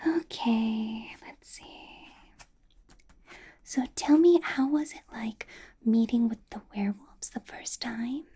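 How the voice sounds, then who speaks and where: A woman's voice: a little high, slightly rough, heavily acted. A woman voicing an ancient, doting yet menacing female vampire boss in a whispered ASMR roleplay, coaxing and drawing out her words. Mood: attentive, doting. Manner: slow, drawn-out, coaxing, soft chuckles and repeated words.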